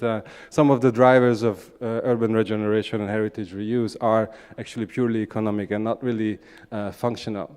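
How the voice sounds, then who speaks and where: A man's voice: mid-range voice, darkish tone, smooth. Hungarian-accented man speaking in an echoey hall. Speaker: conference speaker, urban regeneration practitioner. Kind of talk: conference presentation, semi-spontaneous. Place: large indoor conference hall. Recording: echoey conference hall, long reverb.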